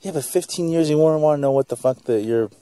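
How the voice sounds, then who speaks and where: A male comedian guest on a podcast, joking loudly and laughing a lot in casual chat. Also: fairly high pitch, fast, clear, resonant tone, no echo. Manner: loud, jokey, laughing a lot.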